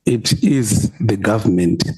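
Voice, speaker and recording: A man's voice: on the low side, slow pace, mellow, slightly rough, low-quality recording. A man from Zimbabwe, a college principal, giving hesitant, guarded short answers in a parliamentary committee room, set back from the microphone.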